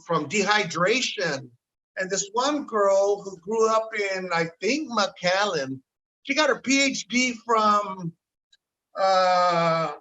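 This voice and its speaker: A man's voice: high-pitched, pushed and bright, poor audio. A middle-aged man, a podcast guest who rambles through a story and mixes in Spanish words. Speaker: podcast guest, bar owner. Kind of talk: casual podcast conversation.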